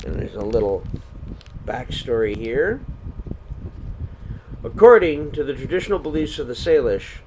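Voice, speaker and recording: A man reading a children's storybook aloud as a storyteller, with deliberate phrasing and pauses. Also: medium pitch, rough recording. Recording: steady air-conditioner noise behind.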